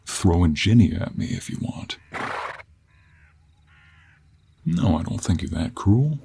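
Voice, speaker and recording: A man voicing a confident, sly cowboy monster hunter with a gravelly voice and an American Western accent in a fantasy audio roleplay. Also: sits low, sing-song, moderate pace, mellow, full character voice. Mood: wry, negotiating.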